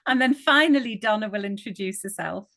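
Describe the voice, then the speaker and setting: A woman from northwest England hosts an online group ritual with an upbeat, chatty manner. Her voice sits at a middle pitch and sounds dry and close, with no room around it. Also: fairly quick, sharp tone, dry recording. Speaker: ritual host.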